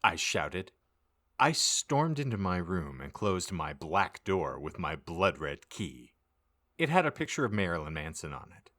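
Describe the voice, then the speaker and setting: A man's voice: low-pitched, bright, forceful tone. A man reading aloud as a podcast host, narrating a comic audiobook in a mock-serious, theatrical way.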